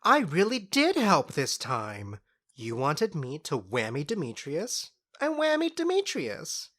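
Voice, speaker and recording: A woman's voice: deep voice, wildly swooping pitch, slow, exaggerated voice. A woman playing a mischievous, sly fairy trickster in a modern audio drama, teasing and theatrical, savouring words with smug unrepentance. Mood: smug, defensive.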